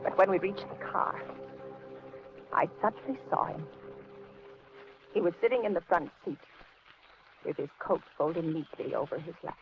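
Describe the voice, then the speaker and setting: Casting: nervous, hesitant housewife, old-time radio thriller, very animated delivery, narrow noisy recording. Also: female voice, on the low side, normal speed, long pauses, faint music behind. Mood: tense narration. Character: anxious middle-aged housewife hiding her fear, narrating her ordeal. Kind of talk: 1940s radio suspense acting.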